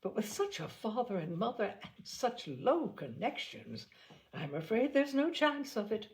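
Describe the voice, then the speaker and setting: A condescending society lady voiced by a woman reader, raised in pitch and very lively in intonation. She sounds affected and falsely sweet, a snob from a classic novel. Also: a little slow, rough recording. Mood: falsely sympathetic. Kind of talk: audiobook character acting.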